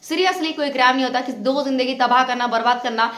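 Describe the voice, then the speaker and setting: Woman, high voice, reproachful reaction host, insistent, echoing room. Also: quick pace, fairly bright tone. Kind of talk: opinionated reaction commentary.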